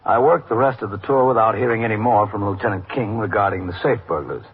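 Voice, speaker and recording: An actor playing a weary, businesslike police captain in a matter-of-fact, clipped classic radio drama style. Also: male voice, fairly low pitch, quick pace, husky. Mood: measured narration. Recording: narrow, noisy old radio recording.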